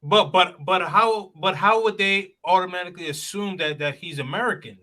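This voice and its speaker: A man's voice: high voice, normal speed, pushed and bright. A man in casual chat who stammers and restarts often as he builds his point.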